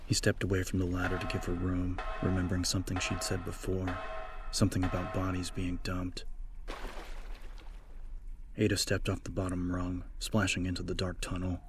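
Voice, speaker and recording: A man's voice: deep voice, completely flat delivery, normal speed, music in the background. A man reading scripted horror fiction as a dramatic audiobook narrator.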